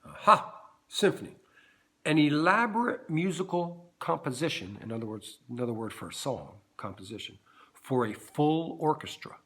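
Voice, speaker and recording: An animated middle-aged man with a low bass voice and a lively, swooping pitch teaches children about music. His tone is bright and forceful, and he keeps asking "right?" as he talks. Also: moderate pace. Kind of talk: playful lesson for kids.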